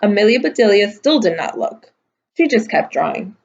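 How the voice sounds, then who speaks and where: A woman's voice: mid-range voice, very animated, moderate pace, gravelly, dull audio. A woman teacher reading a picture book aloud to young children, adding simple explanatory asides. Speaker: kindergarten and first grade teacher.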